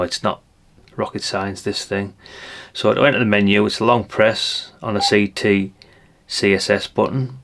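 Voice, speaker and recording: A man's voice: on the low side, a bit bright. An older man giving a casual hands-on product demonstration, chatty and unscripted, thinking aloud as he goes. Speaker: presenter of a radio gadget review channel.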